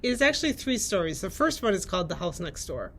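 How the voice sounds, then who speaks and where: A woman's voice: medium pitch, sing-song, fast, fairly bright tone, slight background noise. A woman, a librarian, reading book blurbs aloud and chatting casually about them. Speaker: librarian presenting book picks.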